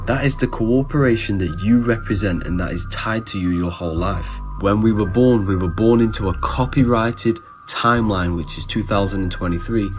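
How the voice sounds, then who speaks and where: A man with a slightly low voice lectures in short, declarative claims. A clear music bed plays under his voice throughout. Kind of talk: social media conspiracy monologue.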